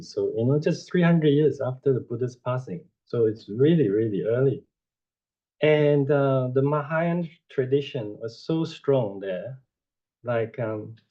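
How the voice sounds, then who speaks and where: A man gives an informal dharma lecture over a video call, unhurried and rambling, with frequent "you know" and self-corrections. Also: medium pitch, mellow. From Taiwan. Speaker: Buddhist teacher giving a talk. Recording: dull lo-fi online audio.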